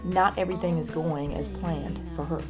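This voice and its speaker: A woman reporter reading a scripted narration in a measured, even way. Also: deep voice. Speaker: news reporter. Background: music.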